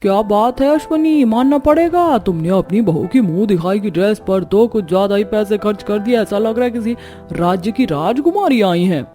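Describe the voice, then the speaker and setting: A woman's voice: mid-range voice, expressive, moderate pace, mellow, soft background music, lightly acted. A woman voicing a gossipy, amazed female guest in a family cartoon. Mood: impressed, curious.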